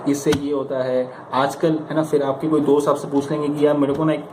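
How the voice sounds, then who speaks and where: A man's voice: fairly high pitch, little pitch movement, brisk. An Indian man giving an informal motivational lesson as a coach, in a conversational, emphatic and coaxing manner. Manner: conversational, emphatic, coaxing, with rhetorical questions and acted-out examples. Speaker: stammering coach giving practice advice. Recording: echoey room with clear background noise.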